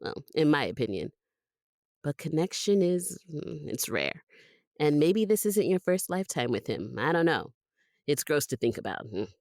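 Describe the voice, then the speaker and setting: A low-voiced, blunt vampire confidante. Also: female voice, big pitch swings, normal speed, darkish tone, no room sound, studio quality. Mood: wry, reluctant. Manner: blunt, sardonic, sighing. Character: blunt, loyal female vampire confidante, wry and skeptical. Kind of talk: audio drama acting.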